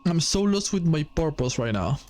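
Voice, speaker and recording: A man's voice: on the high side, big pitch swings, moderate pace, darkish tone, slight background noise. A man playing a visual novel, reading its text aloud as he goes. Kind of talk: game let's play, reading dialogue aloud.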